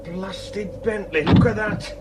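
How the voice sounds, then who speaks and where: A man's voice: high voice, takes it slowly, heavy background noise. An actor playing a resentful, grumbling working-class mill handyman in a radio drama, with a Northern English accent. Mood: bitter, muttering.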